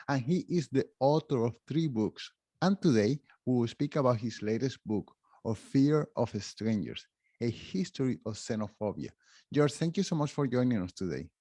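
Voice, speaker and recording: A man hosting a podcast, introducing his guest and asking questions with animated emphasis. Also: mid-range voice, moderate pace, no echo. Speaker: podcast host. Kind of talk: podcast interview.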